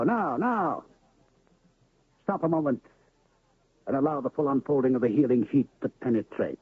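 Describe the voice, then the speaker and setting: A grandiose traveling medicine-show huckster in an old radio play, spinning florid patter in a high, husky voice. The sound is thin and narrow, like an old broadcast. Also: male voice, exaggerated voice. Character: pompous smooth-talking traveling medicine-show pitchman, male. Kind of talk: 1970s radio drama acting.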